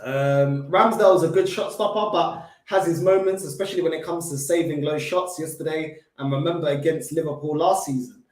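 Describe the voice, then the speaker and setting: A man's voice: fairly high pitch, fairly quick, hardly any pauses. London man with a roomy echoing sound. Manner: banter-filled, laughs often, London slang. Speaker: fan channel co-host reading live chat. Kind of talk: casual football fan chat. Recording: roomy echo.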